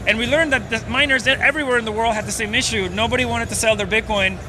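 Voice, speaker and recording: A man's voice: very high-pitched, fairly even intonation, fast talker, background noise. A man from Venezuela, a startup co-founder, explaining at length in a casual interview with many fillers. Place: outdoors at a busy conference.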